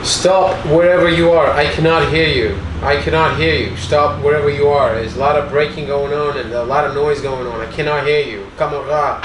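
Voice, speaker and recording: A man's voice: fairly high pitch, steady tone, normal speed, few pauses. A man talking casually as a test partner, using short, repeated radio-check phrases. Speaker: test partner in a gadget review. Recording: echoey, clear background noise.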